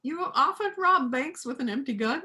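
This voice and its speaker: A woman performing a reader's theater part as a gentle but firm pacifist country vet, earnest and plain-spoken. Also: high voice, animated, moderate pace. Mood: persuasive. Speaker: amateur church play performer.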